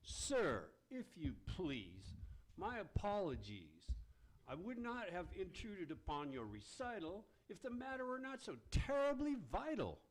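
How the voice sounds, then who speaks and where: A servile underling demon from a radio play, acted out by a man, pleads with anxious deference. The pitch is high for a man and swings wildly, with theatrical weight. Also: very animated, a little slow, exaggerated voice, rough recording. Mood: apologetic. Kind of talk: amateur live radio theater in rhyme.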